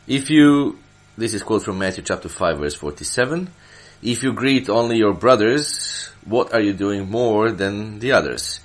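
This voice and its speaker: A man from Serbia, a church elder, delivering Bible teaching from prepared notes in a deliberate way, stressing his phrases. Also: on the low side, animated.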